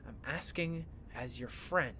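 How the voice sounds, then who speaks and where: A man playing a flippant but caring young student in an audio drama, talking in a casual, sardonic, expressive way. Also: mid-range voice, measured pace, a little hiss or hum. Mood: teasing concern.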